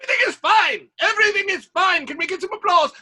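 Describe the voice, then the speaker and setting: A man playing a blustering, exasperated playwright running a theatre in an audio play, forceful and declamatory. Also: very high-pitched, quick pace, exaggerated voice. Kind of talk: stage-style audio drama acting. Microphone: video call recording.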